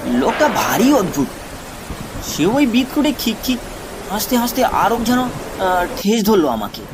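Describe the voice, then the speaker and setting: A man narrates a scripted horror tale in a dramatic style. His voice is high and lively in pitch, with clear noise running behind it. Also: measured pace. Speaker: story narrator.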